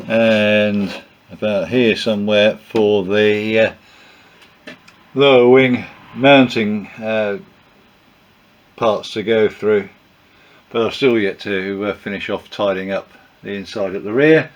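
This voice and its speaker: An older man with a croaky voice from a cold, narrating his build in a home workshop with many pauses and fillers. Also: on the low side. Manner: relaxed, hesitant with many fillers. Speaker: aircraft builder.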